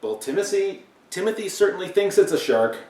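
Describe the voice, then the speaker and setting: Animated man reading aloud in an echoey room. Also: high voice, a little fast. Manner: animated, talks directly to young listeners. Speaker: read-aloud storybook reader. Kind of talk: children's picture book read-aloud with asides. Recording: echoey room, distant mic.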